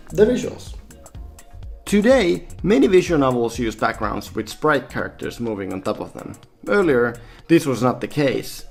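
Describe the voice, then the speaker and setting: A man's voice: medium pitch, darkish tone, roomy sound. A man hosting a scripted explainer vlog, addressing viewers informally as he explains things step by step. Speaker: game developer and vlog host.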